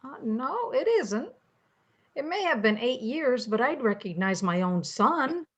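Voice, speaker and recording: A woman's voice: fairly high pitch, sing-song, measured pace, dull audio, very noisy. A woman acting a polite but firm grandmother in an amateur radio play.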